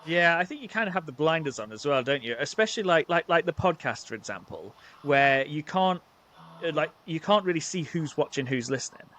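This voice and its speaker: Rambling man, slightly high voice. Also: lively intonation, fairly quick, a bit bright. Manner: rambling, self-deprecating, frequent fillers. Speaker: podcast host. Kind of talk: casual chat.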